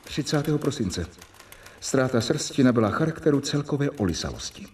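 Radio drama: male actor as a methodical young doctor narrating, mid pitch, animated, a bit distant. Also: lightly acted. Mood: earnest. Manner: measured, reporting dates and symptoms. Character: young male doctor keeping a medical journal. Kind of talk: journal-style narration in a radio play.

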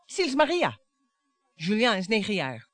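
An actress in a radio play playing a bitter, provocative wife who dwells on words theatrically. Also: female voice, mid-range voice, huge pitch swings, measured pace, no room sound. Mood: brooding. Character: bitter, taunting wife dredging up old family grievances.

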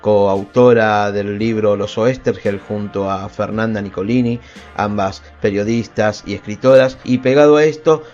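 A man's voice: fairly low pitch, unhurried, a little mellow. A man with a Buenos Aires accent presenting a radio programme as its host. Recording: dull lo-fi radio stream. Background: faint music.

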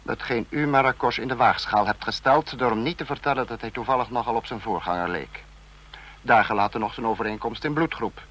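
Male supreme leader of a police state, played by a man, medium pitch, clipped and imperious, old radio drama. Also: brisk, a bit bright, close to an everyday voice. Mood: coldly reasoning. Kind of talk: old radio play acting.

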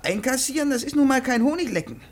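An actor in a German radio play as a jovial, talkative Polish debt collector, courteous and effusive. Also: male voice, very high voice, expressive, quick pace, a little hiss or hum. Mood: convivial, chatty. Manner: effusive, chatty, courtly politeness.

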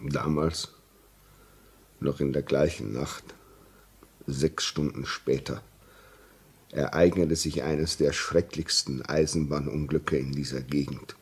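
An actor playing a grave, shaken railway signalman in a German radio play, telling his story haltingly and insistently. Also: very deep voice, steady tone, husky, exaggerated voice. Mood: sombre. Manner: slow, halting, repeats words for emphasis.

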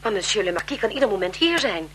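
A bright-voiced, deferential governess. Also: female voice, mid-range voice, sing-song, fast talker, a little hiss or hum. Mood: dutiful, puzzled. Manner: polite, eager, dramatic in telling news. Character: deferential, chatty, gossipy governess to a marquise's children. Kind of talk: 1970s Dutch radio drama acting.

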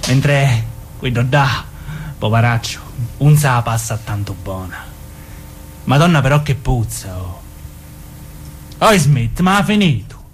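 Male, southern Italian accent, as a swaggering young crook for radio drama; lively, swooping delivery, slight ambient noise. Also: medium pitch, slow, long pauses. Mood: cocky, teasing. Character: cocky, crude young gangster, smitten and scheming. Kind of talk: naturalistic radio drama dialogue.

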